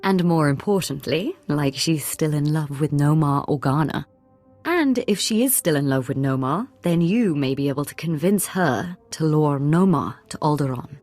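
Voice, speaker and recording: A woman's voice: deep voice, animated, normal speed, darkish tone, faint music behind. An actress playing a scheming, gossip-loving noblewoman in a sci-fi video game, polished and conspiratorial. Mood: intrigued, gossipy.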